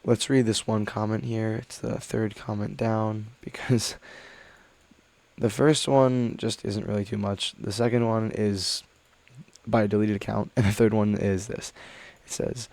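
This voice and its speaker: A man's voice: fairly low pitch, level tone, a little slow, vocal fry, a little mellow, no echo. A man reading online stories aloud and chatting about them in a rambling, hesitant way with many fillers, while a little sick. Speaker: reddit story reader. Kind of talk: casual reading with commentary.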